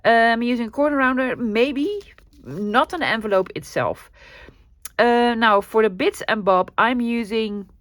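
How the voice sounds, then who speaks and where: A woman's voice: on the high side, unhurried, fairly bright tone, no echo. A woman from the Netherlands with a Dutch accent, chatting her way through a craft tutorial with plenty of ums and restarts. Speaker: craft channel host.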